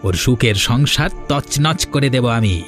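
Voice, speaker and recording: A man's voice: medium pitch, normal speed, lightly acted. Man playing a grumbling, treacherous villager, music behind. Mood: bitter. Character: resentful, treacherous young village man, hesitant plotter. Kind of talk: Bengali cartoon voice acting.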